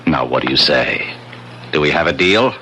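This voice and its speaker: An actor playing a smooth-talking, quietly menacing salesman with a glib, over-familiar manner in a 1970s radio drama. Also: rumbling low, expressive, moderate pace, a little hiss or hum, full character voice, rough recording. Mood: veiled menace.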